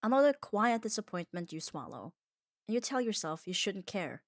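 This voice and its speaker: A woman's voice: medium pitch, sing-song, moderate pace, no room sound, clean studio sound. A woman delivering a scripted comfort ASMR monologue in an earnest, reflective manner, leaning on short emphatic phrases. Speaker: ASMR audio performer.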